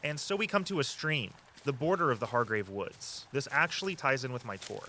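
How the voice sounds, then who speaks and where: A man's voice: mid-range voice, animated, fairly quick, pushed and bright, a little hiss or hum, poor audio. A man playing a friendly, easygoing walking tour guide and history buff in an audio drama, casual and good-natured. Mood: informative.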